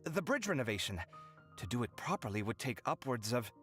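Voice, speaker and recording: A man's voice: mid-range voice, big pitch swings, fairly quick, natural voice. An actor playing a dramatic, earnest young man in an anime game, theatrical and articulate. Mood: excited, dreamy. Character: dramatic young male architect, debt-ridden, earnest daydreamer. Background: game music.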